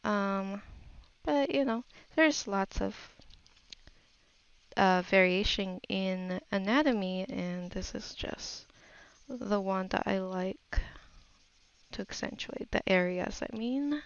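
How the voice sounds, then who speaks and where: Woman, art tutor, casual narration, unhurried musing, smooth tone, vocal fry. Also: mid-range voice, rough recording. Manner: unhurried, musing aloud while working. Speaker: digital painting tutor.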